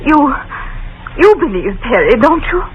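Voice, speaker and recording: Distraught young wife, very high swooping voice, pleading, old muffled noisy radio drama recording. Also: female voice, sing-song, normal speed. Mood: anxious, subdued. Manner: tearful, pleading, breathless exclamations. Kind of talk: 1940s radio acting.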